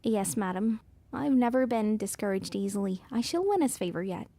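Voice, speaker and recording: A woman's voice: mid-range voice, animated, moderate pace, no room sound. An actress playing a demure, agreeable nineteen-year-old society lady in a period audio drama, with a proper, polite way of speaking. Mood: anxious, obliging. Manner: proper, polite, soft-mannered.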